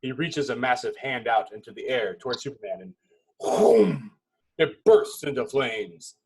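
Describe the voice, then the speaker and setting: A man reading stage directions as narrator at a table read, in dramatic, clipped phrases with pauses. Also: medium pitch, lively intonation, a little mellow, husky, poor audio.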